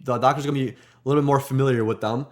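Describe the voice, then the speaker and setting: Fast-talking man, earnest podcast co-host. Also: mid-range voice, fairly even intonation. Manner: rapid, earnest, repeats words as he restarts. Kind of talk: casual podcast chat.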